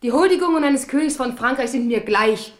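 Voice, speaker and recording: A woman's voice: sits high, animated, a little fast. An actress in a German radio drama playing a proud young noblewoman, cool, dry and unimpressed. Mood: dismissive, mocking.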